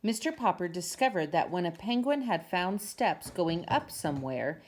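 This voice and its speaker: A woman's voice: lowish voice, big pitch swings, moderate pace, a little mellow. A woman reading a children's storybook aloud as narrator, in an amateur home recording. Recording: clear background noise, amateur home video.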